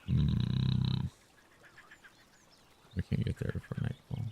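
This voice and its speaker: A man live streaming a text game, musing out loud in short casual phrases and sometimes reading the game text. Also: very deep voice, takes it slowly, vocal fry, slight background noise. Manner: musing, muttered short phrases, thinking aloud.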